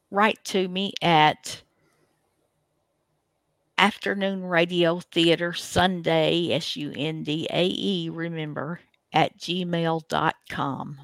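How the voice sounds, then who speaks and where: Slow, creaky-voiced folksy radio host. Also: medium pitch, a bit bright, no echo. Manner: folksy, hesitant, rambling. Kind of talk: casual rambling show introduction.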